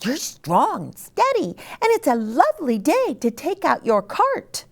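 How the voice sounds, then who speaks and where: Woman voicing a high, clucking bossy little hen. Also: huge pitch swings, measured pace, creaky, full character voice. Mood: coaxing, resigned. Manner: clucking exclamations, exaggerated pleading and scolding. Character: busy, bossy little red hen, indignant clucking mother.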